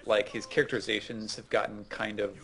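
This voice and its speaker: Low-pitched, creaky, halting man. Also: flat delivery, a bit bright. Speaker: podcast co-host. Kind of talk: casual chat about manga.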